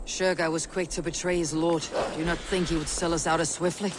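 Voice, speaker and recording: An actor playing a hard, impatient Viking war leader in a video game, terse and commanding. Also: male voice, fairly high pitch, level tone, fairly quick, noisy background, lightly acted. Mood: dry, scornful.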